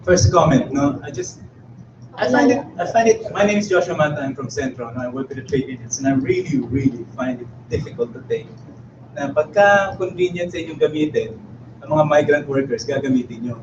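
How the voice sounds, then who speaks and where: A man's voice: on the high side, expressive, fairly quick. Pointed Filipino man, far off in hall. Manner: forceful, challenging. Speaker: trade union worker, audience member. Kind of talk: pointed question at a public forum, switching between English and Filipino. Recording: distant, echoey, muffled hall recording with noise.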